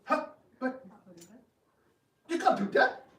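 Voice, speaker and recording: Proud, sly prince played by a man, stage drama; very animated intonation, roomy distant recording. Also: very high-pitched, slow pace, full character voice. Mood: indignant. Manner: theatrical, smug, feigned politeness. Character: proud scheming prince, sly chess gambler, sore loser. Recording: roomy distant microphone, faint noise.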